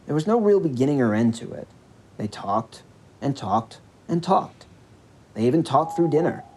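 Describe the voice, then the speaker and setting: A man's voice: mid-range voice, very animated, moderate pace, a little mellow, slightly rough. A man reading a scripted story as its narrator, with music behind his voice.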